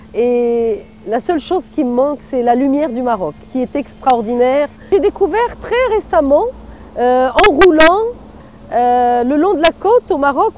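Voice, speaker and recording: A middle-aged woman from Morocco who is an artist, speaking thoughtfully in an interview with frequent hesitant pauses. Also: high voice, darkish tone, a little hiss or hum. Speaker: artist and cultural organizer. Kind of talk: reflective interview.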